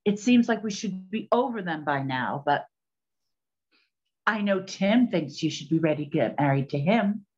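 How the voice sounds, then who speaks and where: A woman's voice: lowish voice, animated, normal speed, darkish tone, lightly acted. A woman playing a proud, matter-of-fact lady detective of the 1920s in a radio-style play. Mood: resigned. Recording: dull, muffled lo-fi sound.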